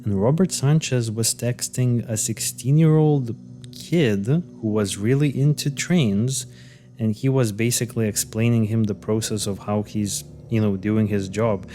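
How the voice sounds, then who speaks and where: A man's voice: fairly low pitch, unhurried, dark tone, soft background music. A man co-hosting a podcast, recounting a case in loose, hesitant casual chat full of fillers and restarts. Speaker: podcast co-host. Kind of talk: casual conversational storytelling.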